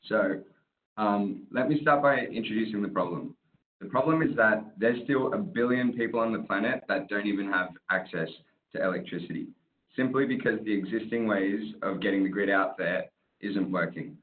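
A man's voice: lowish voice, moderate pace. A man giving a casual, upbeat webinar presentation over a video call, often tagging on "right?". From Australia. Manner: casual, upbeat, tag questions. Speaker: startup presenter. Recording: tinny call audio.

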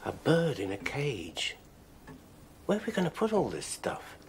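Wary older English father, lively intonation. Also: a little high, measured pace, a little hiss or hum, full character voice. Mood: dry, bemused. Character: tiny older father, wary, dry and grudgingly polite. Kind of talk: naturalistic television drama acting.

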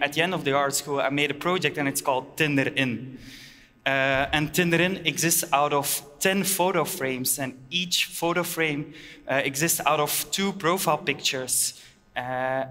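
A man gives a conference keynote on a headset mic. He speaks plainly and haltingly, with many filler uhs. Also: fairly high pitch, pushed and bright. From Belgium. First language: Dutch. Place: large hall stage. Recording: long reverb of a large hall.

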